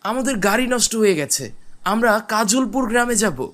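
A man's voice: high-pitched, expressive, moderate pace, fairly bright tone. A man playing a courteous, questioning well-off traveling husband in a cartoon. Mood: doubtful. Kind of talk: cartoon voice acting.

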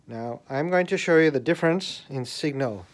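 A man giving a casual, deliberate hands-on explanation as a radio hobbyist, recorded indoors on a handheld camera. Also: a little high. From Australia. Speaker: hobbyist radio enthusiast demonstrating a homemade antenna. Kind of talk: casual video demonstration. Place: indoors in a bedroom. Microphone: handheld camera, held by the speaker.

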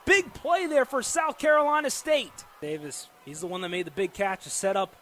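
An American man calling live football play-by-play on the radio with excitable, dramatic delivery. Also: high-pitched, moderate pace. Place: football stadium during a live game. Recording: noisy live stadium broadcast.

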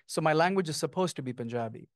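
Middle-aged male author, reflective and poetic, slightly high voice, handheld mic, dry. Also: expressive, fast talker, no room sound. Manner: thoughtful, lyrical, with frequent ums. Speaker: guest author. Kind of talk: onstage author conversation. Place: small stage before an audience, indoors.

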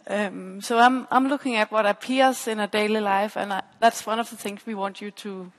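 A woman's voice: mid-range voice, steady tone, moderate pace. A woman giving an academic conference talk on a headset mic, explaining and restating her phrases. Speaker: PhD researcher presenting at a conference. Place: stage in a large dark auditorium. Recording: echoey hall with long reverb.

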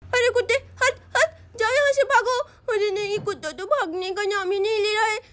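A woman's voice: very high-pitched, normal speed, fairly bright tone. A woman voicing an anxious little girl in a cartoon story, urgently calling for help. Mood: frantic.

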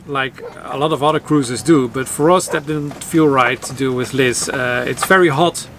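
A Dutch man in his thirties with a Dutch accent, talking casually to camera with relaxed fillers. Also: mid-range voice, moderate pace. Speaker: sailing vlogger. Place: sailboat cockpit outdoors in a harbor. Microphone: camera at close range. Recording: outdoor harbor noise.

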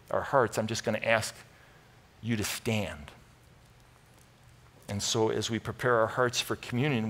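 A man's voice: medium pitch, fairly bright tone, slightly rough. A middle-aged American man preaching as a pastor in an earnest, informal, conversational way to a congregation. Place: large church auditorium, speaking from a stage.

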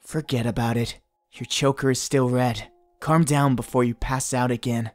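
A man playing a gruff, bossy companion who secretly cares, speaking tersely in a fan dub. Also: medium pitch, steady tone, normal speed, faint music behind, natural voice. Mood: gruff, impatient. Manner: terse, commanding.

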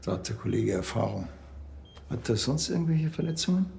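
An actor playing a dry, sarcastic provincial policeman, deadpan, in a TV crime comedy. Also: mid-range voice, a little fast, creaky.

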